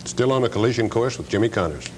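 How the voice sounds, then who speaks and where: A man's voice: fairly low pitch, animated, quick pace. A man reading a scripted sports report as a television sportscaster. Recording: noisy, humming 1970s TV broadcast tape.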